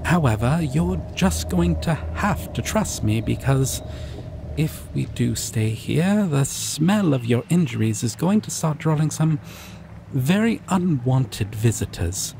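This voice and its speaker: A man voicing a shy, caring male dragon in an ASMR roleplay, talking softly and reassuringly. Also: fairly high pitch, lively intonation, gravelly, slight background noise, full character voice. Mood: gentle. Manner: soft, reassuring, slow and hesitant. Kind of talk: ASMR roleplay audio drama.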